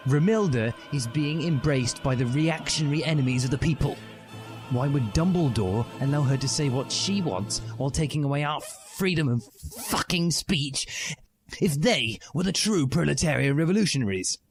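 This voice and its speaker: A man's voice: on the high side, animated, normal speed, voice pushed up. A man voicing a zealous, angry, self-righteous communist schoolboy in a declamatory storybook reading. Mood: rousing. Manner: declamatory, indignant. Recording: music behind the voice.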